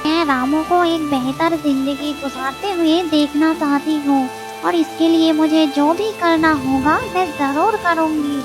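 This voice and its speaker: A woman voicing a young female ghost witch in an animated story, gleeful, determined and emphatic. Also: sits high, a little slow. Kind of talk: animated storybook character dialogue. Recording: music playing loudly behind the voice.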